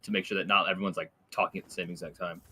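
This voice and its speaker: A man's voice: low-pitched, fairly even intonation, very fast, bright, forceful tone, husky. A young man on a voice call, a team player earnestly asking his coach a question. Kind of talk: voice-call chat.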